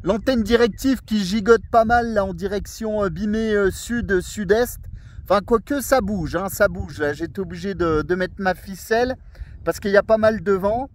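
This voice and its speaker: A man's voice: high voice, moderate pace, a little hiss or hum. A man chatting casually as an amateur radio operator, in a friendly, rambling, repetitive way. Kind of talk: casual radio contact chat. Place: outdoors in a forest area, mobile radio.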